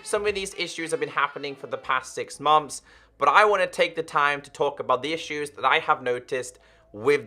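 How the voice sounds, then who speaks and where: This is a young man in his twenties making a gaming video, speaking straight to his viewers in an earnest, direct way. His voice sits a little high for a man and has a crisp, forceful edge. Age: 20s. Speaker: gaming YouTuber. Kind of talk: direct-to-camera commentary.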